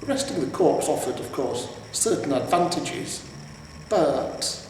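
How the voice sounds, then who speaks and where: A man reading a scripted story aloud in a measured storytelling way, with pauses. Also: on the high side, expressive, darkish tone. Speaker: amateur audiobook reader. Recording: roomy, echoing, distant mic with noise.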